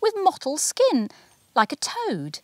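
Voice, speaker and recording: A woman's voice: high voice, wildly swooping pitch, takes it slowly, dry recording, voice pushed up, heavily acted. A woman voicing a kindly, wondering countryside father who exclaims over small discoveries in a children's storybook.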